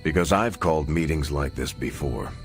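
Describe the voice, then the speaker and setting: Seasoned male leader, very low, gravelly, solemn, plain-spoken, game cutscene. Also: animated, normal speed. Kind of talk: video game cutscene acting. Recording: music clearly behind, night insects.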